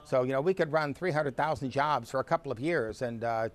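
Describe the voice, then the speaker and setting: A man's voice: mid-range voice, fairly quick. Man explaining in an interview. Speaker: interviewed commentator.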